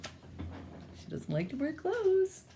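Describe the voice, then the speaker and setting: Casting: woman storyteller for picture books, lively pitch movement, slow pace, informal commentary between passages. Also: slight background noise. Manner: expressive, pausing to comment on the pictures. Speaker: picture-book reader. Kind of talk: read-aloud storytelling for children with casual asides.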